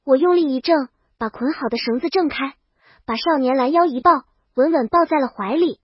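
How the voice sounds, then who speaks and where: Casting: female audiobook narrator, high voice, brash comic storytelling in the first person, dry and slightly dull sound. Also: brisk, no echo.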